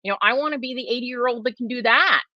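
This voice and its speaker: A woman's voice: a little high, quick pace, dry recording, lo-fi sound. A woman in her forties hosting a casual livestream Q&A, chatty and emphatic, with lots of fillers and restarts. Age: 40s. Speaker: host.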